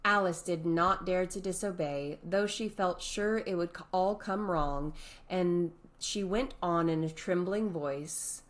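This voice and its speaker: A woman's voice: fairly low pitch, unhurried. A woman reading a children's classic as scripted storybook narration.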